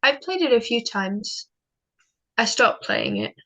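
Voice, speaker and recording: A woman playing a loving young wartime wife in a 1940s-style radio drama, affectionate and gently wry. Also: fairly high pitch, wildly swooping pitch, slow pace, creaky, full character voice. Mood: affectionate, playful. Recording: dull muffled audio, faint music.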